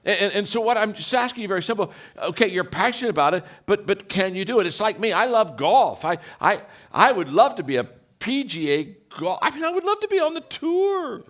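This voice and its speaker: A deep-voiced man gives a motivational talk full of personal stories. His pitch swings widely as he acts out quotes, and he often restarts his sentences. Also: very animated, fairly quick, hardly any pauses, fairly bright tone. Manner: storytelling with repeated restarts and acted quotes. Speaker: leadership speaker.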